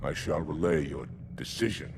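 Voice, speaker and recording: Deep gravelly alien warrior commander. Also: male voice, normal speed, background noise. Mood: restrained, formal. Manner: formal, restrained. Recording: loud music behind the voice.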